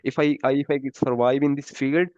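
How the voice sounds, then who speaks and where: A man from Pakistan, a native Punjabi speaker, talking English slowly with many pauses and "uh"s, always polite. His voice is smooth but fairly flat. The sound is dry and a bit dull, like a cheap mic. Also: medium pitch, no echo. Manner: halting, many "uh" fillers, polite. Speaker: developer practicing English. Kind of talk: casual conversation practice.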